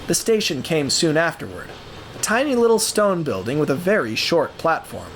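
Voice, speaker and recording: A man narrating a scripted story in a theatrical, measured way, with deliberate pauses. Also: a little high, big pitch swings, a bit bright, slight background noise.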